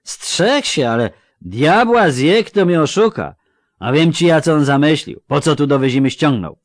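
Man putting on a drunk country boy, loud, high, slurry bragging village talk. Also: lively intonation, normal speed, no echo, clean studio sound, lightly acted. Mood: boastful. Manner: slurred rustic dialect, tipsy boasting. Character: drunk young male country lad, boastful and crude.

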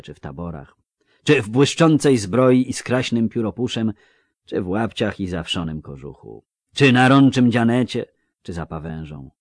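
A man voicing a gruff, fatalistic old male dwarf who talks in an old-fashioned rustic way. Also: medium pitch, a little mellow, no echo, studio quality, pitched above the performer's own voice. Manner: old-fashioned rustic speech, sing-song. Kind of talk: audiobook character voice.

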